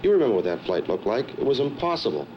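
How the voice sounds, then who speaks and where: A man's voice: medium pitch, sing-song, quick pace, natural voice. A man playing a flustered, defensive airline counter agent in a training film. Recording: muffled, faintly noisy 1970s film soundtrack.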